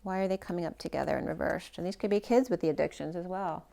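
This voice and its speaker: A woman's voice: lowish voice, fast, gravelly, dark tone. A woman giving a casual tarot reading who is losing her voice. She thinks aloud, trails off and restarts her sentences. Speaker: tarot reader.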